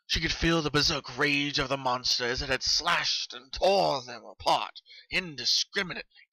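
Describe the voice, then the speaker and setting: A man's voice: fairly high pitch, normal speed, bright, forceful tone, no room sound, rough recording. A man narrating a horror story as a solemn, dramatic reading.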